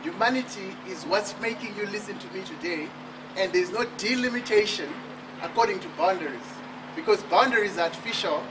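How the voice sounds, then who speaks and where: High-voiced Zimbabwean man speaking outdoors. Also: brisk. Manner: emphatic, rhetorical questions. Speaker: author and speaker. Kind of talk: motivational speech at a book launch. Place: outdoor terrace at night. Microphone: far camera. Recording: distant camera with outdoor noise.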